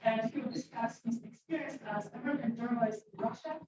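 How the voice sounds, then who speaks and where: A woman host with a slightly high voice opens the evening with a welcome and an introduction of the guest. Her has phrasing. She sounds far from the mic in a very echoey space, over a dull, noisy recording. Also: fast talker. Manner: non-native phrasing, reads welcome. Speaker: event host. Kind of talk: event introduction.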